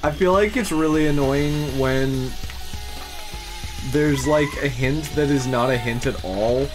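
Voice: medium pitch, measured pace. A young adult giving casual gameplay commentary as co-host of a let's play. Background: video game music.

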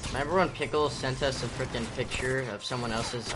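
A man's voice: medium pitch, steady tone, fairly quick, background noise. A young man chatting with friends while playing an online game, teasing them with crude jokes. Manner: crude teasing banter, swears. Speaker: gamer streaming with friends. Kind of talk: casual gaming chat over party voice.

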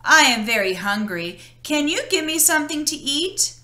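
A woman's voice: high-pitched, big pitch swings, normal speed, bright, forceful tone, echoey room, pitched above the performer's own voice, full character voice. A woman doing a pleading young boy.

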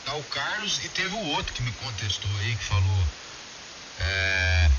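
A Brazilian man chats informally over amateur radio. His pitch swings in a lively way, and the sound is dull, with a clear layer of hiss. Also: a little slow, distant mic. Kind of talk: casual radio chat.

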